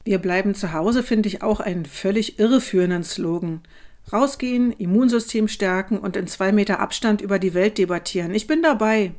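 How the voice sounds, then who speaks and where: An outspoken, questioning woman, played by an actress with a medium-pitched voice. Her delivery is pointed, wry and articulate, in the manner of online comments performed for a radio play. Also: fairly quick, darkish tone, close to an everyday voice. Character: outspoken working mother and teacher, questioning, wry. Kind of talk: radio play collage reading of online posts.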